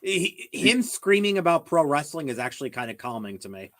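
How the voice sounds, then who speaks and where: A man around forty joins in a casual panel conversation. His voice is notably high for a man, and he talks informally as a guest. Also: very animated, brisk, a bit bright. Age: 40s. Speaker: podcast panelist. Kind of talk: casual chat.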